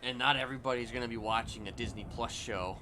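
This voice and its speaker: Guy streamer just rambling and chatting, bright lively voice, bit of car noise behind him. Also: mid-range voice, quick pace. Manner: rambling, hedging, conversational. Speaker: live streamer. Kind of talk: casual chat during a game livestream.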